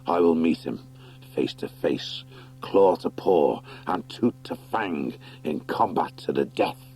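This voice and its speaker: Grave badger warlord for audiobook fantasy, played by a man: low voice, noble, measured, used to command. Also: animated, a little mellow, breathy edge, faint music behind, heavily acted. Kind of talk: audiobook character acting.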